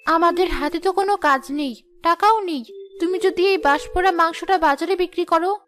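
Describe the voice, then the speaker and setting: Woman acting a very high-voiced devoted young wife. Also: a little fast, a bit bright. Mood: urging. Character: devoted, determined poor young wife, encouraging her husband. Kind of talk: Bengali cartoon drama voice acting.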